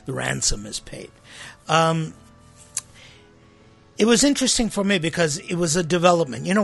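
Husky-voiced man hosting a faith talk show. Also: fairly high pitch, a bit bright. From United States. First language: Armenian. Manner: conversational, often adds rhetorical tag questions. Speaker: host of a church talk show. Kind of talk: casual religious talk.

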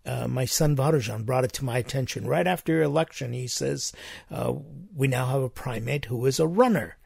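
A man's voice: medium pitch, brisk, gravelly, darkish tone, slightly rough, no room sound, studio quality. A male host asking long, personal questions and affirming his guest's answers. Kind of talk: interview hosting.

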